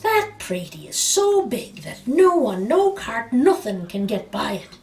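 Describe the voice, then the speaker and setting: Flustered country villagers from a storybook all acted by one woman, full of astonished questions and complaint. The pitch sits high and leaps about. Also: sing-song, unhurried, darkish tone, echoey room. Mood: distressed. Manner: exclaiming, wailing questions. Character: alarmed, curious village folk pestering for answers.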